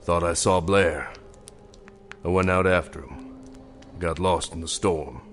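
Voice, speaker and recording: A man's voice: sits low, takes it slowly, soft background music. A man playing a weary, guarded survivor of an Arctic disaster, speaking in clipped lines in a dramatized read-along record.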